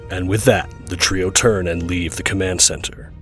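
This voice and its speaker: A man's voice: deep voice, steady tone, moderate pace, a bit bright, soft background music. A man giving scripted narration for an audio drama as its narrator.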